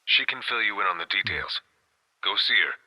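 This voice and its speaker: Stern veteran guard, very deep voice, sharp-edged tone, formal, measured, composed, video game drama. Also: male voice, expressive, rough recording. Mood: businesslike. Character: stern older male royal guard mentor, composed and dutiful. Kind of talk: video game cutscene acting.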